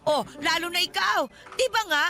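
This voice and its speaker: An elderly Filipina godmother in a radio drama, high-pitched and piercing. She is nosy and grasping, laying on guilt with wheedling, dramatic reproach. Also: moderate pace, vocal fry, pushed and bright, exaggerated voice. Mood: nosy, boastful. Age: older.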